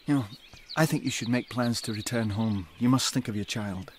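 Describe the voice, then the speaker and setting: An actor playing a world-weary, kindly colonial customs man in a radio drama, reflective and measured. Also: mid-range voice, a little hiss or hum, natural voice. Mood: kindly advising.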